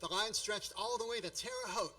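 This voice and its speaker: A man's voice: high voice, moderate pace, pushed and bright, a little hiss or hum. A man playing a grown-up narrator in a radio play, wryly and grandly recalling his boyhood in mock-epic style.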